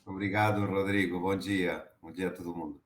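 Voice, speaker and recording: A man's voice: sits low, flat delivery, normal speed, roomy sound. A middle-aged man from Argentina with an Argentine Spanish accent, answering interview questions with many fillers and restarts. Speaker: guest, HR director.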